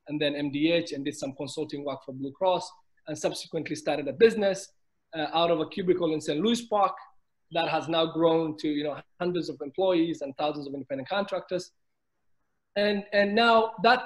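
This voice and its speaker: Kenyan-born guy on a video call panel, voice a bit high, lots of restarts. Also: poor audio. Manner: measured, many restarts and repeated words. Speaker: business owner, panelist. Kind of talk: webinar panel talk.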